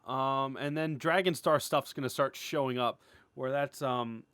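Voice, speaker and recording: A man giving a casual, unscripted creator update, rambling and excitable, starting and stopping his phrases as he goes. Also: mid-range voice, a little slow, clear, resonant tone, dry recording, studio quality. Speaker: animator and web series creator giving an update.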